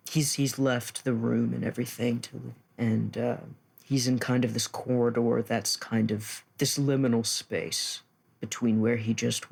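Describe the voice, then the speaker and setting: A man who is a player in a tabletop game, narrating his character's actions in an informal, halting way. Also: mid-range voice, vocal fry, darkish tone, breathy edge. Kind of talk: improvised narration of own character.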